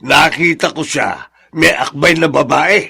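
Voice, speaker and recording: An actor playing an indignant, protective old father-in-law with a husky, gravelly voice and a Filipino accent in a radio drama. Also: on the high side, a little slow, bright, forceful tone, exaggerated voice. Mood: agitated. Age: older.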